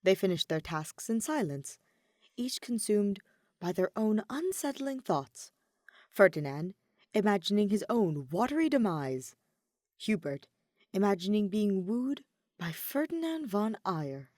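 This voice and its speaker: A woman narrating a story in an expressive audiobook style. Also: mid-range voice, measured pace, no echo, clean studio sound. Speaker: podfic reader, narrator.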